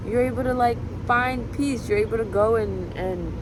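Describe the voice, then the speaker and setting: A young woman whose first language is Spanish, thinking out loud in a rambling, reflective monologue full of fillers, recorded outdoors. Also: fairly high pitch, normal speed. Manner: musing, filler-heavy, drifting between thoughts. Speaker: vlogger. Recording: street and traffic noise behind.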